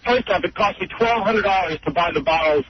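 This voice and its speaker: A man's voice: sits high, fairly quick, noisy background. A man phoning into a talk radio show, arguing casually and defensively, often cutting in. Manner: defensive, interrupting. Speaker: phone-in guest. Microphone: telephone. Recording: muffled phone line on radio.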